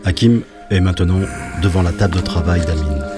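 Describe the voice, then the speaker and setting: Deep, solemn male narrator. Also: a little fast. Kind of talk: scripted literary drama narration. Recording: guitar music behind the voice.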